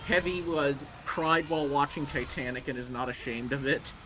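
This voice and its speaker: A man commenting casually on a livestream, excitable, with stop-and-start phrasing. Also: mid-range voice, normal speed, low-quality recording. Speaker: co-commentator on a story stream. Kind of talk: casual chat. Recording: faint music in background. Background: music.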